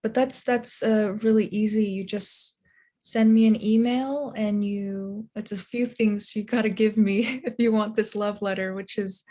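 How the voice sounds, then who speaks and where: A woman author and translator answering interview questions in a thoughtful, measured way. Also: mid-range voice, fairly even intonation, mellow.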